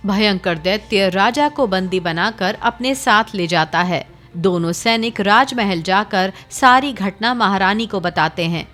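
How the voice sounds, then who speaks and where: A woman narrating a cartoon story in a steady storytelling manner. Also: medium pitch, measured pace, soft background music.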